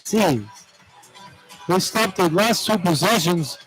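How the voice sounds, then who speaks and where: A man's voice: high-pitched, lively intonation, slow, a bit bright, faint music behind. A man giving informal, opinionated basketball analysis as a radio color commentator, in a conversational, plain-spoken way. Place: courtside in an indoor arena. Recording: live arena broadcast with background noise. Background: faint arena noise.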